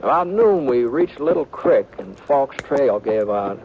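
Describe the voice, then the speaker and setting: An actor playing an easygoing, reluctant cowboy gunfighter in an old Western radio drama, with an American accent and a hesitant, folksy, mumbling delivery. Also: male voice, mid-range voice, lively intonation, unhurried. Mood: reflective narration. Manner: hesitant, folksy drawl with mumbled hums and trailing phrases. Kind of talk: 1950s Western radio drama acting and narration. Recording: noisy, dull vintage radio recording.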